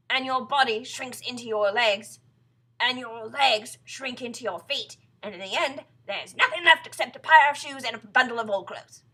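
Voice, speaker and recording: Woman playing a loud, gloating, bullying older husband. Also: on the high side, slightly rough, full character voice. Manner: loud, emphatic, drawn-out menacing pronouncements. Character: gleefully cruel husband, an older man, bullying and mock-solemn. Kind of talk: children's storybook character reading.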